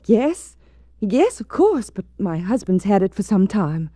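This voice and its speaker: A woman's voice: medium pitch, big pitch swings, measured pace, dark tone, no room sound, clean studio sound. An actress playing an alluring wealthy woman who is easily rattled, in a melodramatic 1940s radio style. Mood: alarmed. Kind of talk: 1940s radio detective drama acting.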